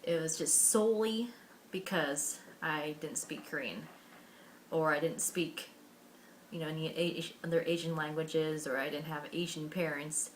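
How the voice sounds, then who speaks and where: A woman's voice: low-pitched, a little slow, a little hiss or hum. A young American woman from Washington State telling her own life story to camera in an earnest, candid way, with many fillers and restarts. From Washington State, USA. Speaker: vlogger.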